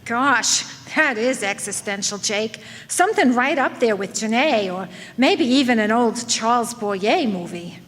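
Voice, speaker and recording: An actress playing a naive, excitable, slangy detective's girlfriend in an old-time comic radio play. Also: a little high, unhurried, few pauses. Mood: puzzled. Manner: ditzy, slangy. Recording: echoey hall stage recording.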